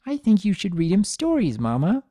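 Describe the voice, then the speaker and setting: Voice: medium pitch, huge pitch swings, normal speed, warm tone, no room sound, studio quality, pitched above the performer's own voice. A performer voicing a bouncy, earnest little pony girl with an eager, childlike delivery, in a dramatic fan fiction reading. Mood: eager, pleading. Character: Sunny, bouncy excitable little filly girl, earnest and playful.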